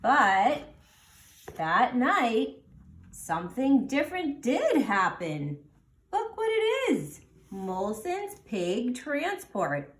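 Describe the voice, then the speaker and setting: A woman reading a children's storybook aloud in an animated way, pausing to explain things simply to young listeners. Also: on the high side. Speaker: storybook reader. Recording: roomy, echoing sound.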